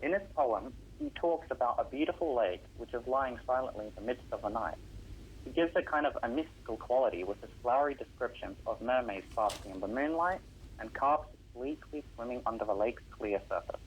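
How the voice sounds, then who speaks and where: A man's voice: mid-range voice, lively intonation, a little fast, slight background noise, rough recording. A teenage boy, a Russian speaker at home, answering radio interview questions about composing, earnest and explaining at length with some hesitations. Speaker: young composer guest.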